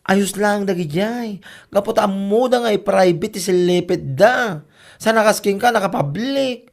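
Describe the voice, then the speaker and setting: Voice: medium pitch, unhurried, creaky. A performer playing a teasing, gossipy, easily amused older sibling with an Ilocano Filipino accent in a comedy radio drama. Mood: placating, explaining.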